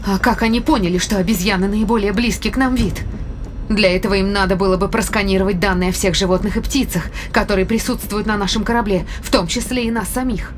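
A woman's voice: medium pitch, fairly even intonation, fast, slight background noise, close to an everyday voice. A woman playing a sceptical, demanding spaceship crew member in an audio drama, questioning with precision. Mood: sceptical, challenging.

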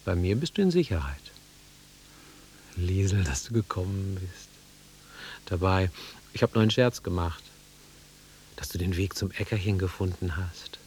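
A man's voice: deep voice, animated, moderate pace, pauses often, darkish tone, slight background noise. An actor playing an awkward, sentimental middle-aged man in a German radio drama, self-deprecating and swinging between murmurs and outbursts. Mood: tender, sheepish.

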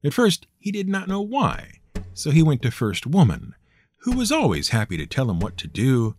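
Male storyteller, wry and theatrical, hugely varied intonation, slightly high pitch, light music. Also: wildly swooping pitch, normal speed, slight background noise. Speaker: storyteller and show host. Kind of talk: scripted storytelling narration.

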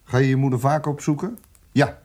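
An actor playing a smooth, condescending police inspector interrogating in a radio drama, commanding and deliberate with hesitant pauses. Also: male voice, medium pitch, darkish tone, lightly acted. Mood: cool, pressing.

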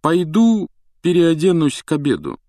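A man's voice: medium pitch, expressive, moderate pace, dry recording, clean studio sound, pitched above the performer's own voice, lightly acted. Man playing a pompous, haughty teenage prefect. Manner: formal, haughty. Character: pompous, self-important older teenage boy prefect.

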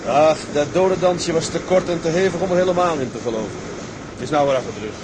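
A man's voice: fairly high pitch, fast, background noise, lightly acted. A man playing a laconic, decisive tugboat captain in a radio drama. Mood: calm, resigned. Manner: laconic, understated, gives orders plainly.

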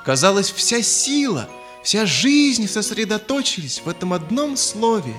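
A man narrating a children's audio fairy tale in a solemn, expressive, literary manner. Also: sits high, unhurried. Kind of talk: dramatic scripted storytelling, audio fairy tale. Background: music.

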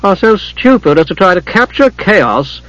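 Scheming god for fantasy audiobook, read by a man: greasy, smooth, wheedling, pitched up and swooping. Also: very animated, normal speed, fairly bright tone, no echo, dull audio. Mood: defensive whining. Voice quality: oily, smooth. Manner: slick, wheedling. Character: greasy, slick, large male god, whining schemer.

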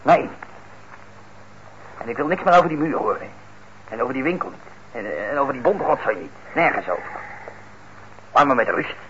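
An actor playing a sullen, stubborn young lad in a radio drama, curt and grudging. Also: male voice, mid-range voice, expressive, normal speed, pauses often, a bit bright, close to an everyday voice. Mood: stubborn, irritated. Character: sullen, stubborn working-class lad, fed up and evasive. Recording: muffled, noisy old radio recording.

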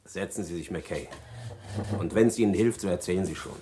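An actor playing a calm, blunt male prison guard in a 1960s radio drama. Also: low-pitched, moderate pace, echoey room, slight background noise, close to an everyday voice. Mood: gruff.

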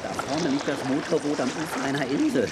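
A man's voice: on the high side, a little fast, very noisy, lightly acted. A man playing a feisty, boastful cartoon sailor in a comic children's radio play, exclaiming and thinking aloud to himself. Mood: determined.

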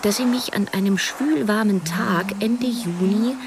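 An actress playing a youngish novelist who narrates a radio drama in a measured, wry, literary way. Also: female voice, mid-range voice, noisy background, lightly acted. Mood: reflective, wry. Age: young adult. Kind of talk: scripted first-person radio drama narration.

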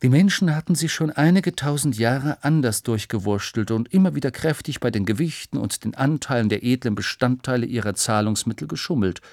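A man's voice: mid-range voice, creaky, darkish tone, dry recording, studio quality. A man reading scripted educational narration for children, explaining in a storytelling way with rhetorical questions.

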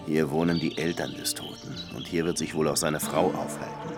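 An actor playing a dry, world-weary police lieutenant who narrates his case in a measured, wry way for a crime radio drama. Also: extremely low pitch, steady tone, music in the background. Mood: reflective.

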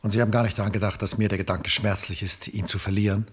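An aging artist of faded fame in a classic radio drama. His voice is lowish and extremely husky. He comes across as lonely and melancholy, with a needy undertone. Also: flat delivery, fast talker. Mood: pained, pleading. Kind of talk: 1960s German radio play acting.

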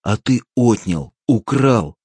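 A grasping, hysterical fat man yells in a strained, raised voice, as a male narrator puts him on. The voice stays husky and close, with a dry, dead sound. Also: mid-range voice, expressive, slow, no echo, pitched above the performer's own voice. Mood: shrieking, furious. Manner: shouting shrilly, frantic.

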